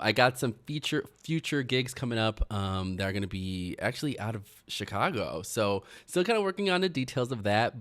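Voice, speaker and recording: A young man hosting a casual radio interview in a studio, upbeat and laughing often, with many "um" and "like" fillers. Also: on the low side. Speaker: radio show host and DJ.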